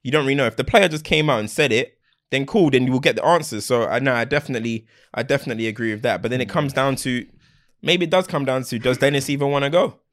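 Blunt, rapid man. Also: medium pitch, fairly bright tone. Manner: blunt, opinionated, slangy. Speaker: podcast co-host. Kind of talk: casual football banter.

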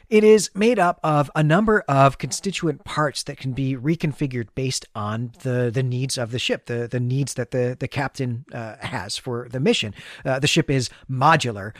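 A man's voice: medium pitch, no echo, studio quality. A man co-hosting a podcast, giving a conversational, explanatory recap of a story. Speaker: podcast co-host. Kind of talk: conversational literary recap and discussion.

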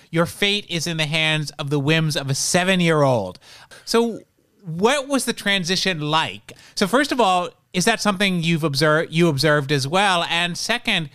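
Male podcast co-host, raised pitch, chatty, loose long questions. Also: bright, forceful tone, clean tone. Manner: chatty, thinks aloud. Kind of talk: conversational interview questions.

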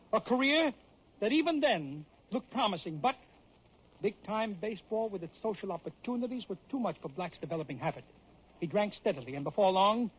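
A high-pitched, husky American man narrates sports tales like a classic radio host. His delivery is theatrical and emphatic, captured on a dull vintage radio recording. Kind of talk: dramatic scripted narration, old-time radio.

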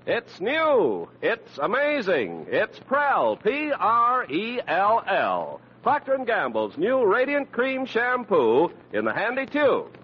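A man's voice: high-pitched, wildly swooping pitch, slow pace, breathy edge. A male radio announcer in 1940s style, delivering copy in an emphatic, theatrical way. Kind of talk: 1940s radio ad and show introduction. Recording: muffled vintage radio broadcast with music.

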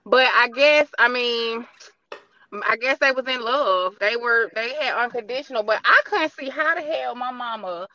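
Bright, high-voiced woman hosting casually. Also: moderate pace, dull audio, slight background noise. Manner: informal, slangy, joking. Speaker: podcast host. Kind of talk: casual interview chat.

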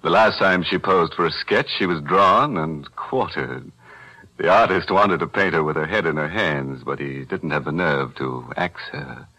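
A man's voice: very deep voice, unhurried, pushed and bright, low-quality recording. A man hosting a 1940s radio horror show, cracking grim puns with sly chuckles, on an old broadcast recording with music. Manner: macabre punning with sly chuckles. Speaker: horror anthology host.

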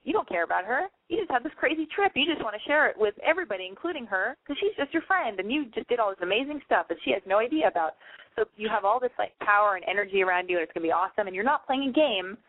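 A woman's voice: mid-range voice, lively intonation, quick pace, no room sound, narrow, tinny audio. A woman hosting a call-in advice show, giving chatty, emphatic big-sister advice. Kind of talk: casual advice call-in chat.

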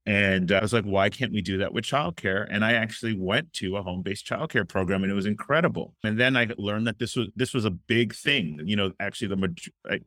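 A very low-pitched young man talks through his career in an earnest, easygoing interview manner. The sound is close and dry, with no room around it. Now and then he pauses with a filler before going on. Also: a bit bright, no echo. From Miami, Florida. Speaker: guest, childcare company founder. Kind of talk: conversational interview answers.

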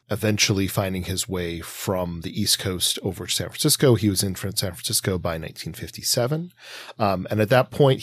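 A man co-hosting a podcast, informally explaining history in a halting way with many ums and pauses. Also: low-pitched, dry recording. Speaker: podcast co-host.

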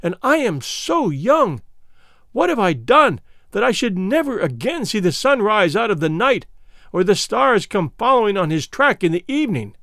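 A man's voice: sits high, no echo, studio quality, heavily acted. A man voicing a terrified young woman who pleads and laments in trembling tones.

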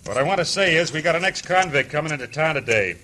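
An actor playing a suspicious, blunt small-town sheriff in an old radio western, with a folksy American Western drawl. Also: male voice, fairly high pitch, lively intonation, fast, sharp tone. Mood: suspicious, gruff. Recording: noisy old radio show recording.

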